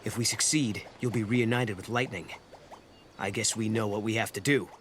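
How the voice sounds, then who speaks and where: An actor playing an earnest, steadfast young hero in a video game cutscene. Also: male voice, on the low side, steady tone, normal speed, fairly bright tone, a little hiss or hum, close to an everyday voice. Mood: questioning.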